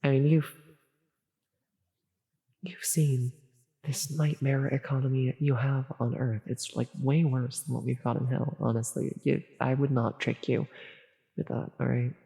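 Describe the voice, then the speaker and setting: A woman's voice: very deep voice, little pitch movement, a little slow, gravelly, pauses often, mellow, heavily acted. Woman doing a flustered lady demon for comfort audio roleplay, swears she's evil but super caring, trips over her words, big reverb. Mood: sheepish, confessing. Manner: stammering, self-interrupting, reassuring, chatty. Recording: long reverberant echo on voice.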